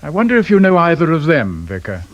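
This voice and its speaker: An actor playing a polite, probing senior detective who questions crisply in a radio crime drama. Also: male voice, fairly high pitch, huge pitch swings, quick pace, highs cut off, slight background noise. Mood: courteous. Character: courteous, probing male senior detective in charge.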